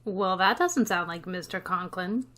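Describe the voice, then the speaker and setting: A woman playing a sardonic high school teacher in a radio comedy, with a dry, wisecracking manner. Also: measured pace, noisy background, lightly acted. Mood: wry, disapproving. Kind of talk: 1950s radio comedy acting.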